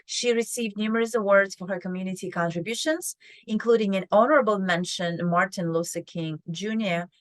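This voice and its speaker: A woman hosts an interview podcast and introduces her guest with effusive praise. Her voice sits at a medium pitch. The recording is dry and close. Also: a bit bright, dry recording. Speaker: podcast host. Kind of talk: interview hosting.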